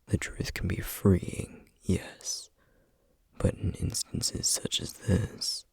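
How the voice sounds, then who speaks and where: Guy doing a weary stern demon guardian for asmr rp, super breathy low whispery voice, slow and guarded. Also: darkish tone, dry recording, heavily acted. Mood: guarded, firm. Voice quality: breathy, husky half-whisper. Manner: measured, weary, grave, formal phrasing. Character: duty-bound male flame demon, stern, patient, guarded guardian. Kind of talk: ASMR roleplay, scripted acting.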